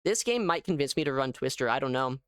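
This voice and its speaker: A man giving casual live gameplay commentary as a streamer, thinking aloud in an animated way. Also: medium pitch, fast, clear, resonant tone, no echo, clean studio sound. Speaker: gaming streamer.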